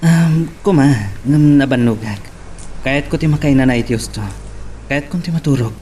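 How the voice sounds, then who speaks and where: A man's voice: medium pitch, moderate pace, mellow, slight background noise, close to an everyday voice. A man playing a subdued, weary and affectionate man in love in an Ilocano radio drama. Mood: tired, grateful.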